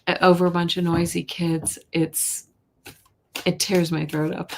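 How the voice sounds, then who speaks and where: Woman, tarot reader, slightly hoarse, low gravelly voice, soft-spoken, chatty. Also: flat delivery, measured pace, a little hiss or hum. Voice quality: soft voice, not loud. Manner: chatty, reads viewer questions aloud, drops to whispers. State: a little hoarse. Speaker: intuitive reader, live stream host. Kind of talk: live tarot reading chat.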